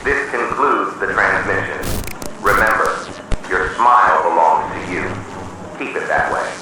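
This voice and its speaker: A man reading a scripted horror emergency announcement in a solemn, measured, official manner. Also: low voice, animated. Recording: distant, heavily echoing, noisy emergency broadcast.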